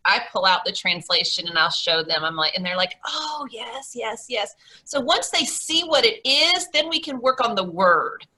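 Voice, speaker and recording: A woman's voice: medium pitch, pushed and bright. A woman hosting an online teacher meetup over a video call, talking in a chatty, rambling way with many fillers and restarts. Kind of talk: casual video call chat.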